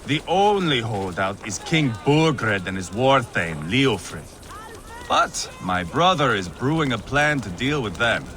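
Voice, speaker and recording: An actor playing a brash, bloodthirsty Viking warrior in a game, sneering and crude with foul-mouthed swagger. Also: male voice, mid-range voice, sing-song, unhurried, a bit bright, slight background noise. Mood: gleeful, mocking.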